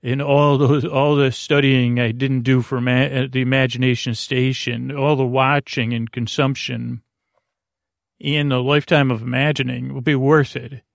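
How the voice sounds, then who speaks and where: A man hosting a sleep podcast in a creaky voice, lulling and soothing, meandering off into tangents. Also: medium pitch, level tone, moderate pace, no room sound, studio quality. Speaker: podcast host. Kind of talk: rambling bedtime sleep-podcast monologue. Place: small closet.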